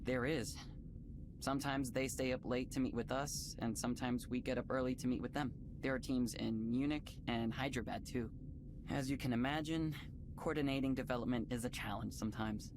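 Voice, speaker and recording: A man's voice: mid-range voice, steady tone, background noise, close to an everyday voice. Polite, earnest man acting as a tech developer. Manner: courteous, considerate, measured. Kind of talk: visual novel voice acting, conversational.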